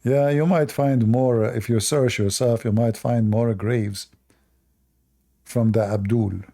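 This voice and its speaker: Gravelly, sarcastic man hosting a livestream. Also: mid-range voice, fairly even intonation, measured pace, mellow. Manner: sarcastic, mocking. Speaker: livestream debate host. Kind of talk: live-stream debate commentary.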